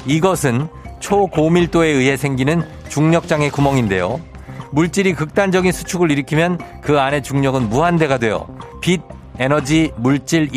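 A male radio DJ hosting a quiz segment in a playful, teasing way. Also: on the high side. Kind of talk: casual radio show hosting. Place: radio studio. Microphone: studio microphone.

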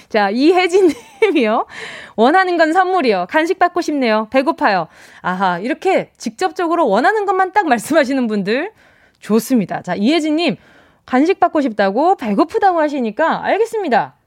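A woman radio DJ with a slight Busan accent, chatting playfully with her listeners and laughing as she reads their messages. Her voice sits high and swings widely in pitch. The studio sound is close and dry. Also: sing-song, normal speed, no room sound. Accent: Busan dialect. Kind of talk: live radio show hosting, reading listener messages. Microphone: studio microphone.

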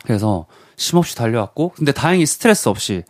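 A man's voice: mid-range voice, sing-song, fast, no room sound, studio quality. A laid-back man guesting on a radio show, chatting casually and joking about himself. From South Korea, outside Seoul. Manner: laid-back, self-mocking. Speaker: guest entertainer. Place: radio studio.

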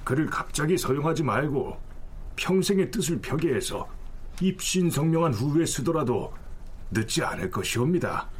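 Formal court censor pleading with the king, breathy, slightly high. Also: big pitch swings, moderate pace. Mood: formal, earnest. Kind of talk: historical drama reenactment.

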